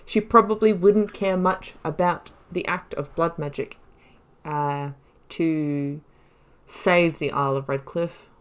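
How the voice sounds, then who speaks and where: A woman's voice: low voice, steady tone, takes it slowly, smooth. A woman giving casual, rambling and reflective game commentary as she thinks aloud through a playthrough. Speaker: gaming video host.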